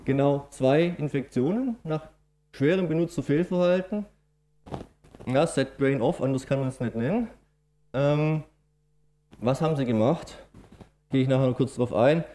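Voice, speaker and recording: Swabian-accented presenter, middle-aged, mellow dark voice, explains plainly with filler sounds. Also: male voice, medium pitch, expressive, moderate pace, pauses often. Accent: Swabian German. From Swabia, Germany. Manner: explanatory, matter-of-fact, with frequent "ähm" fillers. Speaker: conference speaker. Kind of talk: technical conference presentation.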